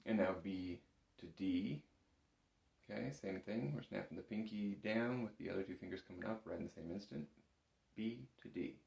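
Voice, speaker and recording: A man speaks in a low register with noticeable vocal fry, sounding like a music teacher. He explains each step patiently and carefully, as in a practical lesson. The room adds a clear echo around his voice. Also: moderate pace, darkish tone, a little hiss or hum. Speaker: music instructor. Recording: roomy, echoing room sound.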